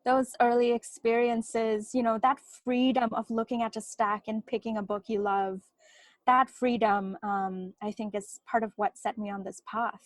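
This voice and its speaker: A woman whose first language is Bengali, an author answering interview questions in a reflective, soft-spoken way that often slows down. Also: fairly high pitch, little pitch movement, no room sound. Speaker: author guest.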